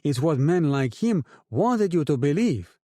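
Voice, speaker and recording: Guy doing a slick young drug lord with a spanish accent, higher and swingy, falsely friendly speechmaker, dry sound. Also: very animated, a little slow, warm tone, dry recording. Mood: rousing. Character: young oily male drug lord, smooth-talking rabble-rouser.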